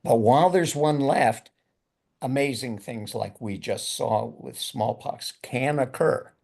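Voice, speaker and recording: A man's voice: mid-range voice, slow, creaky, a little mellow, breathy edge. An older man giving a lecture in a loose, conversational way, often repeating words as he thinks. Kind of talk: university lecture with discussion.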